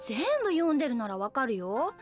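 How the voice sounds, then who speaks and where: A woman's voice: a little high, very animated, fairly quick, music in the background. An actress playing a carefree, teasing young female college student in a Japanese anime audio drama, talking breezily. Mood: frank, teasing. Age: young adult. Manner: breezy, casual, playful.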